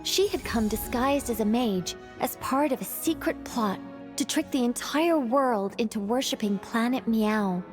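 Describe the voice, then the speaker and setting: A young woman storyteller in a video game cutscene, acted by a woman. Her voice is on the high side, composed and clearly articulated, with a theatrical, gently teasing fairy-tale delivery. Music plays underneath. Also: a little slow, lightly acted. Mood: dramatic storytelling.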